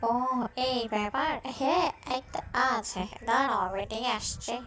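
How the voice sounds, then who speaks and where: A woman's voice: fairly high pitch, lively intonation, normal speed, fairly bright tone, distant mic. A woman voicing a friendly, helpful village neighbour in a cartoon fairy tale.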